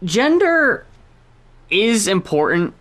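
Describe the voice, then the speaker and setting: A woman's voice: medium pitch, big pitch swings, takes it slowly, a bit bright. A woman co-hosting a podcast, chatting casually, blunt, swearing freely and laughing often. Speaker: podcast co-host. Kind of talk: casual chat about music.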